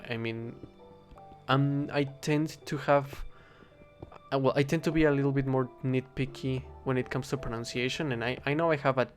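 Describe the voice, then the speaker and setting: A man's voice: mid-range voice, steady tone, faint music behind. Young man with a Spanish accent, rambling. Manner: halting, self-correcting, thinking aloud. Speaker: vlogger. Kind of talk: rambling casual monologue while gaming.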